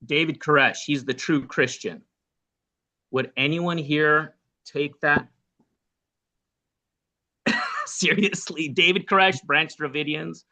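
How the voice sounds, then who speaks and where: A man's voice: on the high side, very animated, takes it slowly, long pauses, pushed and bright. A man hosting a political talk show who argues back and cites the live chat. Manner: pushes back, argumentative. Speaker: host. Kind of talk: political discussion.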